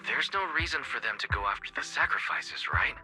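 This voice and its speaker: Bright, sharp-toned game ally. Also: male voice, mid-range voice, expressive, fairly quick, soft background music. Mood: inquiring, composed. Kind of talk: scripted video game voice acting.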